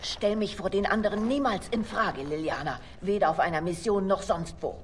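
A woman's voice: mid-range voice, lively intonation, normal speed, a little hiss or hum, natural voice. An actress voicing a commanding, secretive female spymaster in a video game, curt and imperious. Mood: stern. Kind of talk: dramatic video game dialogue. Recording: clear music behind the voice.

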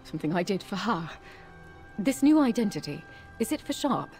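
A woman voicing an earnest, grieving elf forger in a fantasy video game, solemn and measured. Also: medium pitch, very animated, darkish tone, faint music behind. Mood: mournful.

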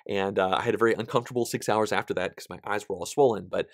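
A man in his thirties talks casually to camera from a small studio, his voice slightly nasal and pitched on the low side. He is chatty and animated, with plenty of fillers. The sound is dry and close. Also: rapid-fire, no room sound. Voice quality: somewhat nasal. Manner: chatty and animated, gesturing with both hands, frequent fillers. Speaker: board game vlogger. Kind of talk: casual vlog update. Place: small indoor studio, plain backdrop.

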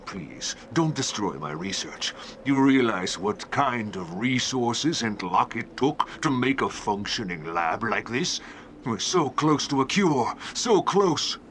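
Slow, pleading, anxious scientist. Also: male voice, medium pitch, big pitch swings, fairly bright tone, slight background noise. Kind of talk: video game character acting.

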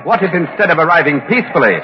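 American male field reporter, acted, formal and earnest, high-set voice, muffled old broadcast. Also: expressive, racing, crowd noise, lightly acted. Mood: probing. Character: earnest radio correspondent covering history live. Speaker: on-the-scene news reporter. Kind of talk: 1940s radio news reporting, dramatized.

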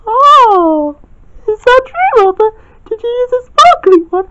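A woman playing a giddy, affectionate woman spirit down from heaven, squealing way up high. She swings widely in pitch and talks in a playful, giggly toy-play manner. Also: big pitch swings, a little slow, heavy background noise, full character voice. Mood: excited. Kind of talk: homemade toy roleplay.

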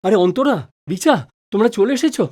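A man's voice: sits high, lively intonation, brisk, warm tone. A man playing a patient, easily flustered middle-aged father who is wrongly accused in an audio drama. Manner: stammers and repeats words when flustered. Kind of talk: Bengali audio drama acting.

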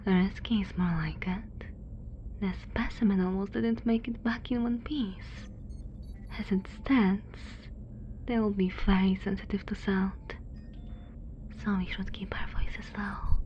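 A woman playing a composed, cautious alien scientist in an ASMR roleplay, in a soft breathy whisper with a measured, formal, reassuring manner. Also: mid-range voice, steady tone, muffled recording, a little hiss or hum, close to an everyday voice. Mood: wary.

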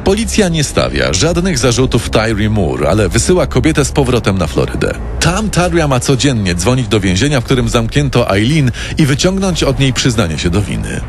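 A man's voice: medium pitch, sing-song, normal speed, few pauses. A man narrating a scripted true-crime radio story in a dramatic storytelling manner. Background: prominent music bed.

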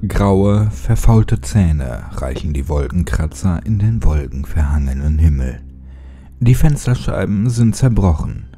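Male narrator for creepy audiobook channel, deep register, husky and heavily mellow, unhurried. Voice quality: husky, dark, low. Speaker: horror story narrator and channel host. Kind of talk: casual channel address, then dramatic horror audiobook narration.

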